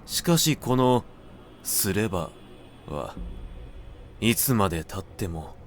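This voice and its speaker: A man narrating a literary audiobook in a measured, deliberate manner with dramatic pauses. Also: fairly low pitch, very animated, slight background noise. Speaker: narrator reading literature aloud.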